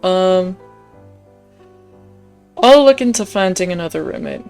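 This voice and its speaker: A woman's voice: fairly low pitch, lively intonation, a little slow, loud music behind the voice. A woman voicing a young female diner waitress in a visual novel, weary, defensive and a little sarcastic. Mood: defeated.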